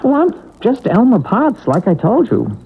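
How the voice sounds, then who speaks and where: An actor playing a flustered, apologetic, newly dead spirit clerk in a 1970s radio drama, hesitant and stammering. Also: male voice, high-pitched, wildly swooping pitch, measured pace, vocal fry, slightly rough, heavily acted. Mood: fussy, insistent. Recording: dull vintage radio drama broadcast.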